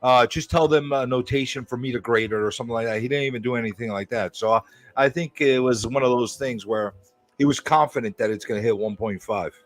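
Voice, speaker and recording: A man's voice: mid-range voice, fast talker, soft background music. A man hosting a casual live unboxing stream, laid-back and jokey, swearing now and then. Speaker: channel host.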